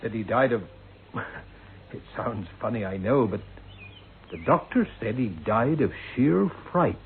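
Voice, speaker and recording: An actor playing a respectful, matter-of-fact police sergeant in a radio play. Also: male voice, mid-range voice, animated, slow pace. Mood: grave, puzzled. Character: plain-spoken, respectful male police sergeant. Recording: noisy, tinny old radio recording.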